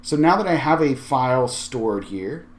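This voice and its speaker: A man with a medium-pitched voice walks through a software tutorial. He talks in a conversational, explanatory way, as a presenter guiding viewers through a screen demonstration. His delivery is informal, often thinking aloud as he explains. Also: lively intonation, measured pace. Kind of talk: casual software screencast walkthrough.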